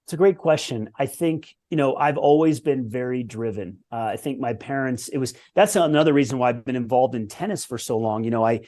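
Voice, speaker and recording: Forties guy from the US, ex tennis pro, earnest and reflective, mid pitch. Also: a little fast, hardly any pauses, dark tone. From United States. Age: 40s. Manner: reflective, earnest, with lists and restarts. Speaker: podcast guest, former pro tennis player. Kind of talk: conversational interview storytelling.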